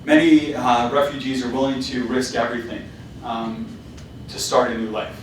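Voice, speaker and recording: A man's voice: medium pitch, level tone, normal speed, slight background noise. Founder talk: a man with a mid-range voice speaking casually, heavy echo, recorded from far away. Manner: casual, with many fillers and restarts. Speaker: company founder giving a talk. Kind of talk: presentation to students. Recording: very echoey hall, voice from afar.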